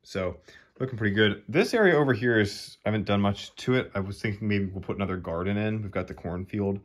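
A man's voice: on the low side, fast. A man casually explaining his model railroad scenery work, thinking aloud as he goes. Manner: relaxed, thinking aloud while working. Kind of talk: casual how-to narration. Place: hobby room at a model train layout.

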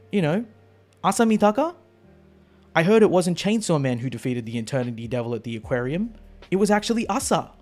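Gossipy high school kid, man reading it, higher voice, bouncy. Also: darkish tone.

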